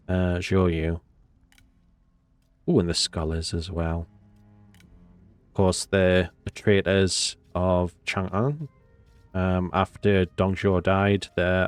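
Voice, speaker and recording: Nasal man with a cold, low voice. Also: no echo. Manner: rambling, hesitant with many fillers. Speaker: game commentator. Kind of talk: casual gameplay commentary.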